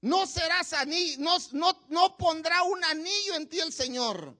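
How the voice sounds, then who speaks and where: High, slow, bright-voiced preacher. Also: expressive. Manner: emphatic, repetitive exhortation. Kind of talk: sermon.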